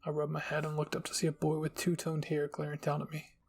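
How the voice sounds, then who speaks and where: Husky, gravelly male story narrator. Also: a little high, monotone, quick pace. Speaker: channel host and story narrator. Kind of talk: scripted first-person story narration.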